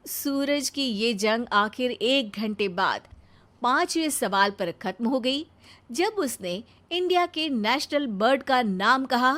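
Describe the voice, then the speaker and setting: High-voiced, unhurried woman storyteller. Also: expressive, fairly bright tone. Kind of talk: scripted comic story narration.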